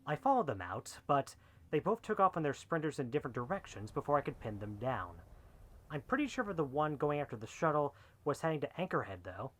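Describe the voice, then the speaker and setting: An actor playing an excitable outlaw henchman in a sci-fi western drama, with casual, wry talk. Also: fairly high pitch, a little fast. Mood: reporting, uneasy.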